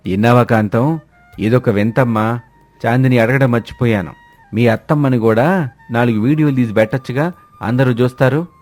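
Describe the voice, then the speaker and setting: A man's voice: medium pitch, moderate pace, long pauses, a little mellow, soft background music, natural voice. A man voicing an exasperated, grumbling father-in-law in a cartoon family story. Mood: resigned, teasing. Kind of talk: animated moral-story voice acting.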